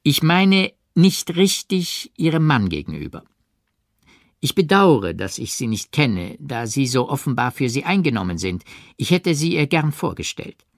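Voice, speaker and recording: Haughty princess, acted by a man, audiobook, affected emphasis, cool irony, lively intonation. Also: mid-range voice, a little slow, creaky, dry recording, clean studio sound, pitched above the performer's own voice. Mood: cool, disdainful. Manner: affected, mannered emphasis on chosen words. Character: haughty, cool, ironic aristocratic princess, woman. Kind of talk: audiobook character reading.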